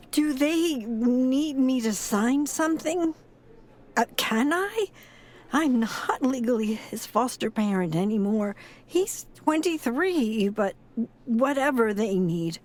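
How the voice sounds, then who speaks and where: Slow, high-voiced grieving grandmother. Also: expressive. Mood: weary, hesitant. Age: older. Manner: halting, sighing, sorrowful. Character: frail, grieving, tough old grandmother and former foster mother. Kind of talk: audio drama acting.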